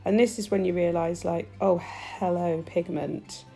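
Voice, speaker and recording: Woman, nasal and stuffy, gravelly low tone, mellow, soft music behind. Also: fairly even intonation, unhurried. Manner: chatty, gushing, talks while working. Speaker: nail technician demonstrating gel polish. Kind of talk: chatty tutorial voice-over. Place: home nail studio.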